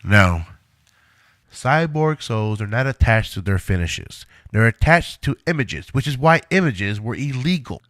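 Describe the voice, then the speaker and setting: An actor playing a controlling, self-assured middle-aged inventor in an audio drama, speaking in a measured, instructive way. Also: male voice, medium pitch, animated, a bit bright, no echo, clean studio sound. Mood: matter-of-fact.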